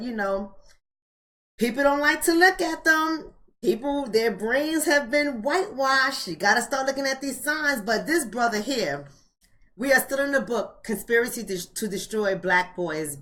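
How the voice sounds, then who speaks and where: A woman's voice: fairly high pitch, sharp tone. Emphatic American woman, around forty. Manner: emphatic, repeats phrases for emphasis; colloquial, addresses viewers directly. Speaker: host of a live online book club. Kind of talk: casual livestream chat.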